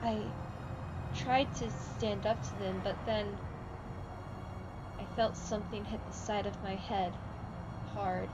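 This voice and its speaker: A woman's voice: mid-range voice, steady tone, takes it slowly, pauses often, loud music behind the voice, lightly acted, poor audio. A teenage female student actor playing a bitter, wistful bullied girl in a one-act play. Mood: haunted, recounting. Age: teens. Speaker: youth theatre student actor. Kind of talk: staged one-act play dialogue and monologue.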